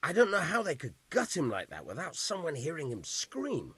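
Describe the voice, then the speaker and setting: An actor playing a gruff, weary village bailiff in a video game, blunt and grudging in how he talks. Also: a little high, huge pitch swings, moderate pace, a bit bright, dry recording, exaggerated voice. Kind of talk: video game cutscene acting.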